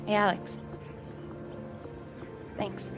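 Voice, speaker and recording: A woman's voice: very slow, music in the background. A woman playing a fond, keen young relative in naturalistic game dialogue. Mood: fond, wistful.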